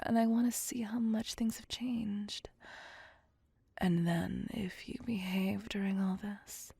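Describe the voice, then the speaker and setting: An ancient female vampire, acted by a woman for an ASMR roleplay, possessive and playful. She speaks very slowly in a dark, breathy near-whisper, coaxing and commanding the listener as her prey. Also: medium pitch, no echo, exaggerated voice. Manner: slow, teasing, coaxing and domineering.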